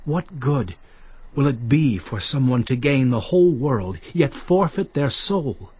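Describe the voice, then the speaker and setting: An actor playing a commanding, earnest male teacher in a dramatized audio Bible, speaking emphatically and deliberately. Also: mid-range voice, slightly rough, no room sound, muffled recording. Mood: earnest, exhorting.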